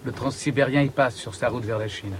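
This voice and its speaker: An actor playing a nostalgic, idealistic Siberian-born polar researcher in a radio drama, telling things in an earnest, reflective way. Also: male voice, medium pitch, steady tone, brisk, slight background noise, lightly acted. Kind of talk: naturalistic French radio drama acting.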